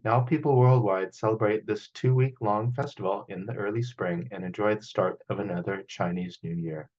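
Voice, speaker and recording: A man reading a children's picture book aloud as a storyteller, in an expressive manner, over a video call. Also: fairly low pitch, moderate pace, muffled recording. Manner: expressive, acts out sound effects.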